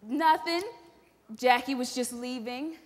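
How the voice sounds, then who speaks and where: High-pitched female, actress as nervous exasperated wife, pleading, theatrical stage delivery, echoing hall. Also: takes it slowly. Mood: nervous, flustered. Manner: flustered, pleading. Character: anxious, exasperated wife fearful of her husband. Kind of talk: stage play acting, projected.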